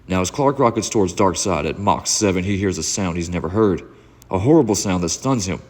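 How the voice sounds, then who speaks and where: A man's voice: low voice, animated, brisk, a little mellow. A man hosting a comic book channel, talking casually and narrating a read-along. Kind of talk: casual vlog talk and dramatic comic read-aloud narration. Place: indoor room. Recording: echoey reverberant room, audible background noise.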